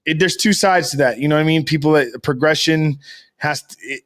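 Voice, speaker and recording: A man's voice: on the high side, a little fast, a bit bright. An American man chatting casually as a podcast co-host, rambling and emphatic, with slangy talk and free swearing.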